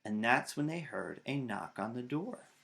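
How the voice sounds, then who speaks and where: A man's voice: medium pitch, animated, a little slow, creaky, a bit bright, slight background noise. A man, reading aloud to children. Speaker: storytime reader. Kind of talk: animated children's read-aloud. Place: small child's bedroom indoors.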